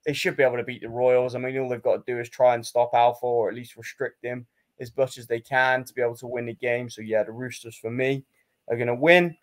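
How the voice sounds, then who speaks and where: A man's voice: medium pitch, fairly even intonation, fast talker. Male co-host, sure of his picks, plain delivery, ribs his partner now and then. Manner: confident, matter-of-fact, teasing. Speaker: podcast co-host. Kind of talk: casual sports picks chat.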